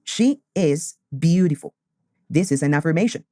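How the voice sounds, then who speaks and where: A man, teaching beginner English and repeating key phrases slowly. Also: on the high side, expressive, dark tone, no echo, studio quality. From Colombia. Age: 20s.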